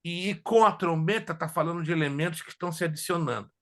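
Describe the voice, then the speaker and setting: A man teaching a theology class as a pastor, whose first languages are Hebrew and Spanish, lecturing in an animated way and joking with his students. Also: a little high, fast talker. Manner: animated, jokes with students, asks if they follow.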